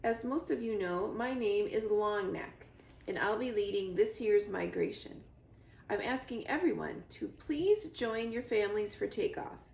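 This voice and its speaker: A woman's voice: mid-range voice, lively intonation, unhurried, creaky, darkish tone, roomy sound. A woman voicing a big male goose, a confident and kindly flock leader making formal announcements, in a storybook reading. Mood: formal, commanding.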